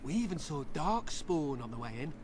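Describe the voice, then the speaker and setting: An actor playing a casual, gullible travelling adventurer in a fantasy video game. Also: male voice, fairly high pitch, very animated, normal speed, background noise. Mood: friendly.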